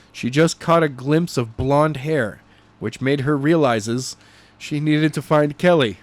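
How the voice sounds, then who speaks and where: A man narrating a novel chapter in an animated amateur audiobook style, stumbling and correcting himself as he reads. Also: medium pitch. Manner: halting, stumbling read with self-corrections.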